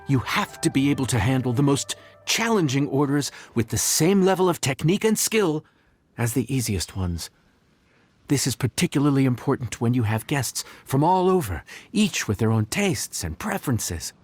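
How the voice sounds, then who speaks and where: An actor playing an affable, patient inn cook who explains things in an encouraging, folksy way in a video game. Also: male voice, medium pitch, sing-song, normal speed, soft background music, natural voice. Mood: instructive. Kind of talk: video game cutscene acting.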